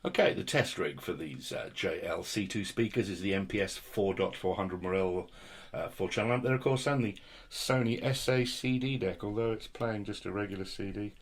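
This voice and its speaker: A man's voice: fairly low pitch, moderate pace, few pauses. A British man presenting a casual hands-on product review in a chatty, jokey, effusive way with quaint turns of phrase. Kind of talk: casual hands-on unboxing commentary.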